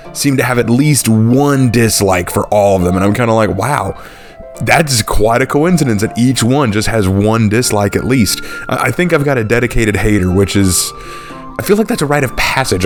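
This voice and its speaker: A young man, talking casually and unscripted to his channel's viewers, relaxed and thinking aloud with fillers. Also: medium pitch. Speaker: YouTube channel host.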